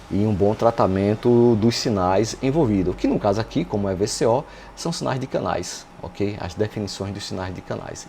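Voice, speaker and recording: A Brazilian man with a fairly low, warm and mellow voice walks through a technical demonstration. He sounds like an informal instructor, posing rhetorical questions and adding little check-in tags as he explains. There is faint noise behind him. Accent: Brazilian Portuguese. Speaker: radio repair instructor and channel host.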